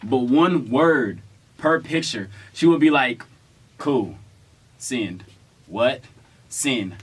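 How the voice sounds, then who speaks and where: A man's voice: mid-range voice, lively intonation, very slow, husky. A young man telling a story in casual, slangy chat, with a playful, protesting manner. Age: young adult. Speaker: vlogger telling a couple story.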